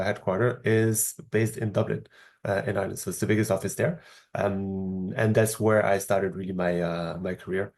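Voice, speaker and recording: Low-voiced man with a French accent. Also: darkish tone. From Morocco. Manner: measured, explanatory, frequent hesitations. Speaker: podcast guest, startup founder. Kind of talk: casual interview answers.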